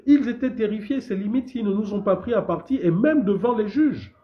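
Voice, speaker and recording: A man from Gabon, fighting a cold, speaks out as a civil society activist in an indignant, emphatic voice that swings widely in pitch and sits fairly high. He keeps stumbling and repeating words, then pushes on. The recording is dull and lo-fi. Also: expressive, a little fast. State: has a cold. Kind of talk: impassioned public statement.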